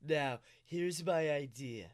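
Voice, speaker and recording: Man acting out sinister male emperor, smug salesman patter, clear voice, comic storytelling. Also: a little high, slow pace. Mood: smug, persuasive. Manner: salesman-like, repeats gushing praise. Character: smooth-talking sinister male emperor pitching a bigoted scheme.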